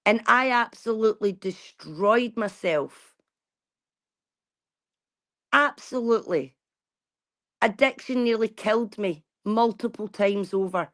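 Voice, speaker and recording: A woman's voice: medium pitch, takes it slowly, long pauses, fairly bright tone. A woman, talking bluntly and casually to her viewers and swearing freely. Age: 40s. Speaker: vlogger. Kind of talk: casual chat.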